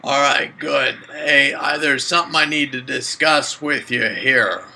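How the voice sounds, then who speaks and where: A man's voice: mid-range voice, unhurried, creaky, bright, forceful tone, a little hiss or hum, exaggerated voice. A man playing a suspicious seventy-six-year-old father who rambles indignantly in folksy phrases on a prank call. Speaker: prank caller.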